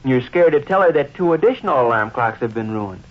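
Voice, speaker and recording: An actor in an old-time radio comedy playing a shrewd, good-natured teenage son with folksy, slangy, suspicious talk. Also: male voice, medium pitch, expressive, fairly quick, lightly acted. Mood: knowing, teasing. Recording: dull, muffled old radio recording.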